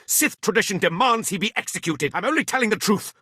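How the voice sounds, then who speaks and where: Sneering, cruel sci-fi overseer, raspy-edged. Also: male voice, sits high, lively intonation, quick pace, bright, forceful tone, no echo, clean studio sound, heavily acted. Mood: outraged. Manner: sneering, imperious, biting sarcasm. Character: cruel, contemptuous male Sith academy overseer, sneering at slaves. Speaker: game character, academy overseer. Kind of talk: scripted video game villain dialogue.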